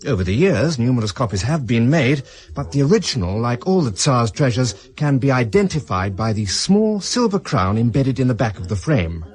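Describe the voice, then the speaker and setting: An actor playing an affable, fussy London auction-house specialist in a radio drama, with a British accent. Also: male voice, mid-range voice, big pitch swings, moderate pace, hardly any pauses, darkish tone, close to an everyday voice. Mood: expert, chatty. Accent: British English. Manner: fussy, courteous, chatty.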